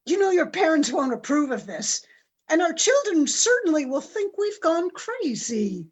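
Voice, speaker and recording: Lady playing skeptical complaining wife in a radio play, high, slow, very up and down. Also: big pitch swings, exaggerated voice. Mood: wry reluctance. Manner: dry, reluctant, complaining. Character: doubtful, sardonic middle-aged wife and mother. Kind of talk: amateur radio play acting.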